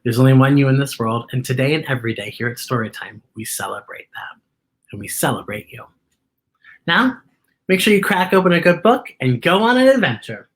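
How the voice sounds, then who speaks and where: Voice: mid-range voice, animated, fairly quick. A children's story time host reading aloud and talking to young viewers in an enthusiastic, emphatic way. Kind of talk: animated read-aloud to children.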